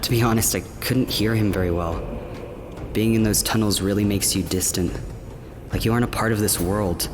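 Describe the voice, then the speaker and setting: Actor as a haunted low-voiced young man. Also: fairly even intonation, moderate pace, noisy background, close to an everyday voice. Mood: sombre, haunted. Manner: hesitant, sombre storytelling. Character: haunted young man recalling childhood enslavement. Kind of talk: fantasy audio drama acting.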